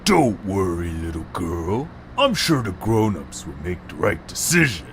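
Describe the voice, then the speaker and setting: A man's voice: deep voice, very animated, unhurried, noisy background, pitched below the performer's own voice, exaggerated voice. A man voicing a condescending, smug villain creature with a patronizing manner in an amateur cartoon audio drama. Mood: smugly patronizing.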